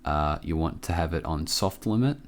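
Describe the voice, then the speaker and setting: A man with a low voice walks through a software tutorial casually. He sounds a little under the weather and talks in an offhand, halting way, close to the mic. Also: animated, slight background noise. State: sick with a cough. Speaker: tutorial presenter. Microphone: close microphone.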